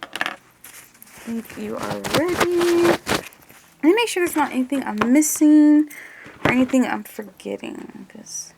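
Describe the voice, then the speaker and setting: A woman's voice: high-pitched, expressive, a little slow, creaky, pauses often, background noise. A woman doing a one-on-one ASMR role-play as an examiner, hesitant and muttering to herself, drifting between normal voice and whispery murmur.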